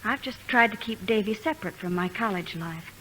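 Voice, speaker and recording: A woman's voice: medium pitch, brisk, pushed and bright, a little hiss or hum, close to an everyday voice, poor audio. An actress playing a candid young widowed mother at college in an old radio drama. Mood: subdued, candid. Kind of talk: 1950s radio drama acting.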